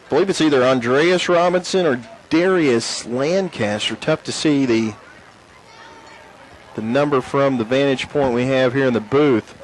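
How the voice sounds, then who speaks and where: A man calling a high school football game play by play from the stadium booth. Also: normal speed, slight background noise, rough recording. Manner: rising excitement on big plays. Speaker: play-by-play announcer. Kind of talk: sports play-by-play commentary. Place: press box at an outdoor stadium.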